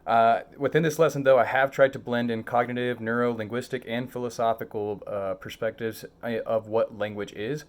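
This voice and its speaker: A man's voice: mid-range voice, steady tone, normal speed, hardly any pauses. A young man from Mexico whose first language is Spanish, earnestly introducing an online lesson as its teacher, with many small fillers and self-corrections.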